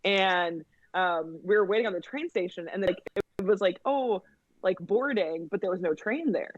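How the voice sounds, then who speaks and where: A woman's voice: fairly low pitch, normal speed, dry recording, dull audio. A woman talking as a podcast guest in casual chat, with many fillers and restarts and frequent laughter.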